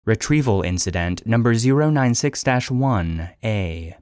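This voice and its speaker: A man voicing an impersonal official database in an audio drama, with flat, clipped announcements. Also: fairly low pitch, unhurried, clear, resonant tone, no echo, clean studio sound.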